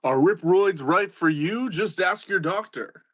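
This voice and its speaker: A man's voice: high-pitched, expressive, unhurried, fairly bright tone, no room sound, clean studio sound, heavily acted. A man playing an announcer in spoof adverts, with commercial delivery at a table read. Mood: announcer pitch.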